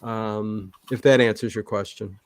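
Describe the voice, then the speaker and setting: A man's voice: lowish voice, steady tone, unhurried, clean tone, no room sound. A middle-aged man talks off the cuff as a livestream host. His speech rambles and doubles back on itself. The sound is dry, with very little room in it. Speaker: livestream show host being interviewed. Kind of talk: casual livestream chat.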